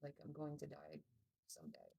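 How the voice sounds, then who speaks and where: A woman co-hosting a casual podcast chat, sharing thoughtful opinions in a relaxed, hedging way. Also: low-pitched, moderate pace, creaky, husky. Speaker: podcast co-host.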